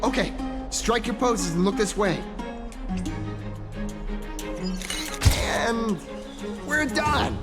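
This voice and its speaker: Eager friendly young explorer from a video game, kinda high voice, stammery, music loud underneath. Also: male voice, lively intonation, takes it slowly. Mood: breezy, confident. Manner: chatty, stammering, theatrically earnest. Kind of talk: video game character dialogue.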